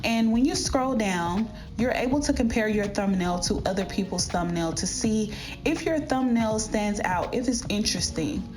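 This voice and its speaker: A woman vlogger with a slightly raspy, mid-pitched voice speaks directly to her viewers in a casual instructional way. She explains things step by step, with music clearly playing behind her. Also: animated, normal speed, darkish tone. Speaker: YouTuber giving channel growth tips. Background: background music.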